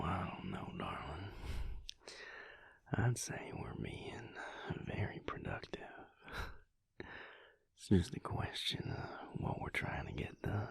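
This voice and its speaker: A very low, slow male vampire lover, acted by a man in an intimate audio roleplay. He murmurs in a breathy, gravelly Southern drawl that rises and falls freely. His manner is laid-back, tender and teasing. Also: heavily acted. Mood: lazy, tender. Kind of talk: intimate audio roleplay, whispered pillow talk.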